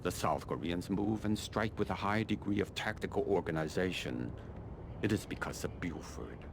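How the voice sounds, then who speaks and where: Casting: game colonel, low voice, imperious and calculating, formal delivery, noisy ambience behind. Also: male voice, lively intonation, creaky. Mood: musing, commanding. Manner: measured, imperious, formal, dryly condescending. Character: cold, calculating middle-aged Chinese army colonel, male. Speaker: military commander giving mission briefings. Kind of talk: video game mission briefing dialogue.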